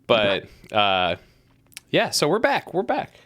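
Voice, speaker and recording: Animated chatty woman hosting a stream. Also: a bass voice, slow pace, no room sound. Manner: bubbly, rambling, laughs often. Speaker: podcast host. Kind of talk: casual live-stream chat.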